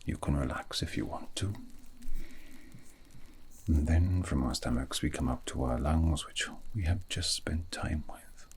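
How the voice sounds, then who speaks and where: A man playing a gentle, wistful fantasy mage in an ASMR roleplay, soothing a weary friend to sleep in a formal, poetic way with a low, breathy whisper. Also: measured pace, a little hiss or hum. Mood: soothing, tender.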